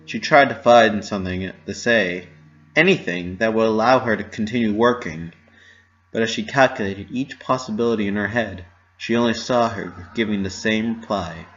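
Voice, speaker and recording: Male narrator for a dramatic story reading, lower register, dull home recording. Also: moderate pace, a bit bright.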